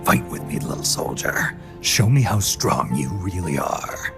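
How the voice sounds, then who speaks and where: An actor playing a gruff, stoic middle-aged soldier in a video game cutscene, terse and fatherly, with a husky growl. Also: sing-song, full character voice. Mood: stern, resolute. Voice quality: deep, gravelly, husky growl. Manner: terse, measured, low-key delivery. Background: music.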